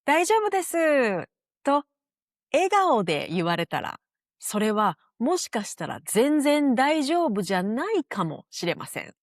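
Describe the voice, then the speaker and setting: A woman with a Japanese accent hosts a language lesson in an instructional, scripted style. Her pitch is mid-range and moves in a lively way as she explains. The sound is close and dry, with very little room to it. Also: no room sound. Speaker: language teacher and show host.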